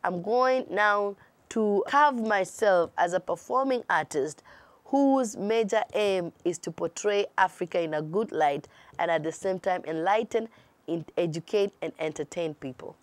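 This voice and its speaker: Kenyan-accented woman guest, expressive talker, dry close-miked voice. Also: medium pitch, unhurried, no echo. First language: Swahili. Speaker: guest artist. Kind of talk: interview answers.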